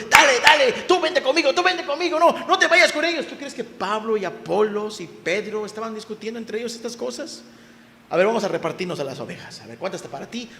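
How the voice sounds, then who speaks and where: High-voiced preaching man, echoey church. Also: fairly bright tone, slight background noise. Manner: preaching, asks the congregation questions, voice rises in emphasis. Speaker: pastor. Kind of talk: sermon. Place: church hall. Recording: echoey church hall, faint ambience.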